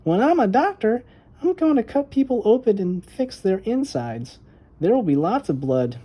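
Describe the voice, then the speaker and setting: A woman voicing an eager, bossy little girl in a children's storybook, high and childlike with an emphatic singsong delivery. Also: very animated, normal speed, warm tone.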